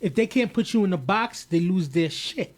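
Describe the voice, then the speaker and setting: A man co-hosts a podcast in a casual, animated way. His high voice pushes hard on key points, and he often repeats a word before he gets going. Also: normal speed. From United States, of Haitian parents. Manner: animated, emphatic, repeats words when starting. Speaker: podcast co-host.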